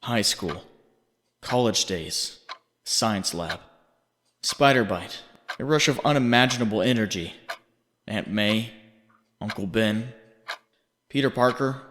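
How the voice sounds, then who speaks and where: A man playing a haunting inner voice, narrating in the second person with deliberate, accusing, dramatic delivery. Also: lowish voice, a bit bright. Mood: nostalgic, solemn. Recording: long reverb, faint background noise.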